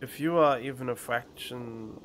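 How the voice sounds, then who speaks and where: A man's voice: medium pitch, measured pace, fairly bright tone, slight background noise. A man giving casual, drily joking commentary as a game streamer, close on the microphone. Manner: dry, joking sarcasm. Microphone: close studio microphone. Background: game music.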